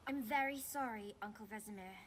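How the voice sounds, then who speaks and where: A woman's voice: fairly high pitch, fairly quick, slight background noise, lightly acted. A woman voicing a precocious, mischievous young girl pupil in a video game, cheeky and a little prim. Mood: eager, contrite. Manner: cheeky, reciting primly.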